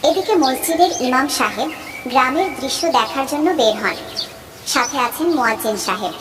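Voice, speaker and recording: High-pitched echoey female narrator. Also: expressive, brisk. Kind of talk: scripted narration for an Islamic children's cartoon. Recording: echoey reverb with faint background noise.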